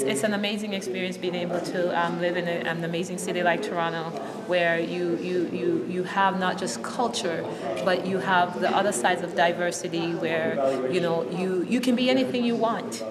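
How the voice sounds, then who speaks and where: A woman in her thirties, born in Jamaica, answering interview questions earnestly and with emphatic repeated phrases. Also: lowish voice. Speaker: social worker interviewee. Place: large church hall with rows of seats. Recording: hall reverb with loud crowd chatter.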